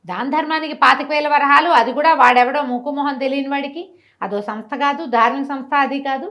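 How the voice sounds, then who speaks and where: A woman storyteller speaks very quickly in a fairly high voice. She tells a moral tale like a conversation, slipping into dialogue and turning to the listener to drive the lesson home. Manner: animated, didactic, acts out dialogue with rhetorical questions.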